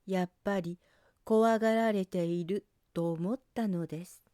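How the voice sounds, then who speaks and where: Female picture-book narrator: very mellow, leisurely, gentle read-aloud, close dry sound. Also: medium pitch, fairly even intonation, measured pace, no echo. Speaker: storyteller. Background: background music.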